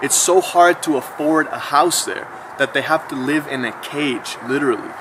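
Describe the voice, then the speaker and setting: A man's voice: fairly high pitch, normal speed, background noise. A man in his thirties musing in a casual, slangy, chatty monologue for his vlog. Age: 30s. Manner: relaxed and chatty, with slangy asides. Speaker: vlogger.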